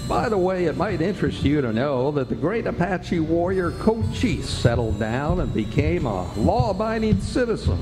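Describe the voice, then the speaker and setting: Man as animated Western storyteller over loud music. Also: a little high. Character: genial, folksy storyteller of a Western tale. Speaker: narrator. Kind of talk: folksy storytelling narration for a radio play. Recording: echoey hall, loud music behind.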